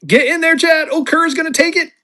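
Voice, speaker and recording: A man's voice: extremely high pitch, brisk. Chatty man selling on a live stream. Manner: chatty, excitable, jokey. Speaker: live-stream auction seller.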